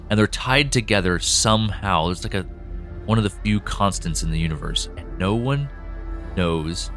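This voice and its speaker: A man co-hosting a behind-the-scenes podcast, talking through the lore of his audio drama with animated enthusiasm and plenty of fillers. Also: on the low side. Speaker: podcast co-host, show creator. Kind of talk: casual behind-the-scenes chat.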